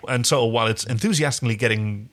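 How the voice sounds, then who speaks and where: A man's voice: mid-range voice, quick pace, a bit bright. A man co-hosting a casual episode recap, effusive and rambling, repeating words as he goes. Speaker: podcast co-host.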